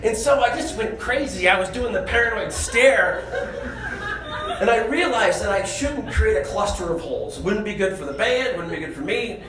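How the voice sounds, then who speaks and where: A man telling a comic, self-deprecating story on stage in an animated, conversational way. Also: high-pitched, moderate pace, a crowd in the background. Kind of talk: comedic stage storytelling to an audience. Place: live stage venue. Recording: echoey stage show, audible room noise.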